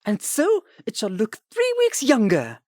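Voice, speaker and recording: A performer playing a genteel, soft-spoken princely host in a sci-fi audio drama, formal and gracious. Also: medium pitch, wildly swooping pitch, unhurried, no room sound, studio quality.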